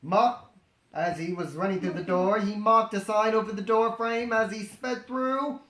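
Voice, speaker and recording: A storyteller reading aloud comedically, with animated, sarcastic improvised asides. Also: medium pitch, a little slow. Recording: roomy, echoing sound.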